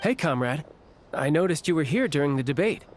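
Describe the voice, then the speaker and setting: A man voicing a suave, genial yet sly villainous officer in a game, smooth-talking and theatrical. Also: on the high side, expressive, a little fast, slight background noise, natural voice. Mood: amused, genial. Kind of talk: scripted game story dialogue.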